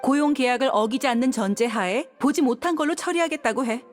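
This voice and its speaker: An actress playing a lively, confident young female rescuer in a game, with a playful delivery. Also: high-pitched, fast talker, soft background music, natural voice. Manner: playful, animated. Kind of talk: animated game voice acting.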